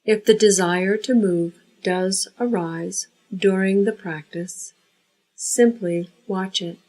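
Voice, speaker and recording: A woman leading a guided yoga nidra relaxation, giving unhurried, measured instructions. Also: medium pitch, steady tone, a little mellow, rough recording.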